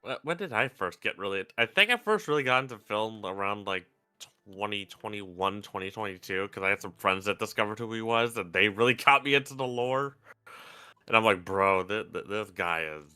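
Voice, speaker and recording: A man co-hosting a casual stream chat, with a loud, carrying voice that stammers when he gets going. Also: on the low side, expressive, normal speed, clean tone. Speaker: co-host.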